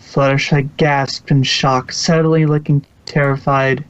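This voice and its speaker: A man's voice: mid-range voice, little pitch movement, measured pace, clean tone, muffled recording. A man reading scripted storybook narration for a fan fiction audio production.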